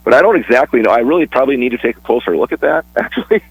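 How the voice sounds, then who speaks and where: Husky-voiced man talking sports on radio. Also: medium pitch, very animated, very fast, poor audio. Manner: chatty, restarts and fillers, laughs easily. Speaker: sports broadcaster guest. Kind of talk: casual radio sports chat.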